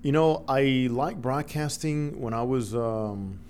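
A middle-aged man from the Dominican Republic whose first language is Spanish, a broadcaster telling lively stories as a guest in an interview. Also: mid-range voice, slow, slight background noise. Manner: animated storyteller, acts out quoted voices. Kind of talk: storytelling interview.

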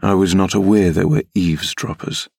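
Man playing a menacing low-voiced gentleman villain. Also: steady tone, moderate pace, gravelly, husky, no room sound, clean studio sound, heavily acted. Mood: wheedling. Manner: mocking, commanding, insinuating. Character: menacing, wheedling middle-aged gentleman villain. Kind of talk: audiobook character reading.

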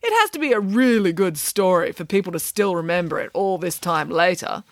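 A woman's voice: on the low side, animated, a little fast, no echo, clean studio sound, natural voice. A woman voicing a glamorous, boastful pig nanny in an audiobook, grandly and emphatically explaining nonsense as fact. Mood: indignant, haughty. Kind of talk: audiobook character voice.

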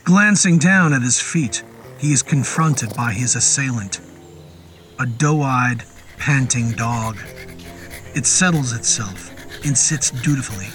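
A man narrating a scripted horror story in a measured, descriptive storytelling manner. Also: medium pitch, expressive, fairly bright tone. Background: music under the voice.

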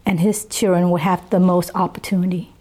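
A woman's voice: fairly low pitch, flat delivery, darkish tone. A woman in her fifties, born in Vietnam with Vietnamese as her first language, speaking in a Southern US accent, earnestly recalling her life in an interview. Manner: earnest, unhurried, with pauses. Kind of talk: reflective personal testimony.

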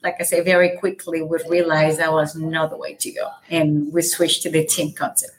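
A woman from El Salvador speaks English with a light Salvadoran Spanish accent, her first language being Spanish. Her voice sits a little low, and she talks in energetic bursts with fillers. She is a guest on a casual podcast. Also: moderate pace, slight background noise, rough recording. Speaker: guest, real estate brokerage owner.

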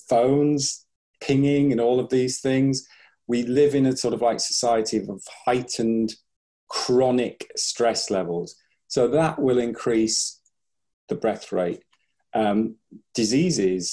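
A man's voice: mid-range voice, mellow. A man who works as a breathing coach, speaking in a podcast interview, thinking aloud, restarting his sentences and acting out what his clients say. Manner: thoughtful, restarts often, acts out clients' voices.

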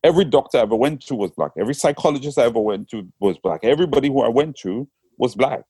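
A man's voice: medium pitch, lively intonation, racing, warm tone, breathy edge, dry recording. A man from Trinidad with a Trinidadian accent, talking reflectively as a poet in a casual interview. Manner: reflective, with frequent restarts and "you know what I'm saying". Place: England.

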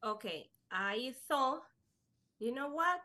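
High, bright Spanish-speaking woman. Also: animated. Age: young adult. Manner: earnest, halting non-native English with fillers. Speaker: guest, life insurance agent. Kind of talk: casual interview chat.

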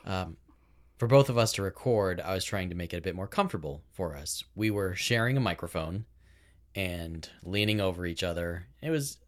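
A man with a very low voice co-hosts a home podcast, his pitch swinging widely as he talks. He rambles through stories, restarting and correcting himself. He sounds recorded at home on a boom-arm mic. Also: expressive, moderate pace. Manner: rambling, self-correcting, chatty. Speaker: podcast producer and co-host. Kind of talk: casual podcast chat. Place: home recording setup in a house. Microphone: boom-arm microphone.

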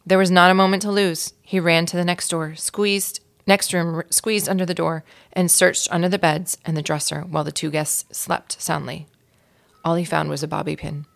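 Low, creaky-voiced woman reading a story. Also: a bit bright. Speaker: guest story reader. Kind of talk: expressive children's audiobook narration.

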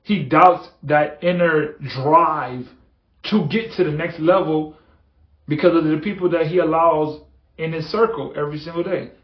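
A man's voice: fairly high pitch, a little slow. A man hosting a motivational podcast, talking earnestly and repeating phrases for emphasis. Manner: earnest, repetitive, building emphasis. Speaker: podcast host. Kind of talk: motivational monologue. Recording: echoey, dull lo-fi room sound.

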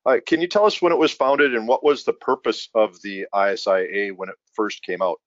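A man's voice: lowish voice, brisk, no room sound, highs cut off. A man hosting a podcast in casual conversation, joking and self-deprecating as he rambles between questions. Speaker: podcast host.

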